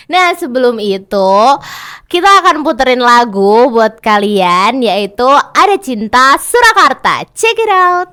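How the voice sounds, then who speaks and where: Young female host, high voice, chatty and eager, casual talk-show energy. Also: sing-song, unhurried, pushed and bright, smooth. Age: young adult. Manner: chatty, upbeat, eager prompting.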